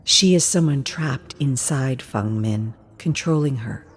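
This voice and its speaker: Female narrator, low-pitched and unhurried, for intense dramatic story narration. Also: breathy edge.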